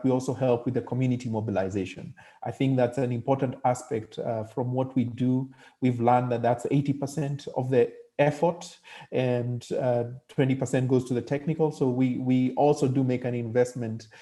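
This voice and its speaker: A man explaining a program on an online panel in measured, halting phrases. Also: medium pitch, mellow.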